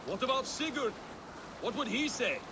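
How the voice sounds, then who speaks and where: A man's voice: very high-pitched, big pitch swings, normal speed, background noise, exaggerated voice. An actor playing a blunt, crude but loyal Viking crewman in a video game, sardonic and needling. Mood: scolding. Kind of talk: video game cutscene acting.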